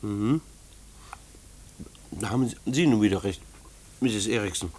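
Husky, kindly country postman. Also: fairly low pitch, unhurried, a little mellow. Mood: soothing. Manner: soothing, hesitant, polite. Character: caring, modest country postman, soothing and reassuring. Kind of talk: German post-war radio play acting.

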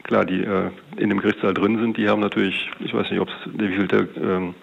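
A man's voice: fairly low pitch, flat delivery, brisk, vocal fry, highs cut off. A middle-aged man, a police detective, giving a wordy, hesitant, matter-of-fact account in an interview.

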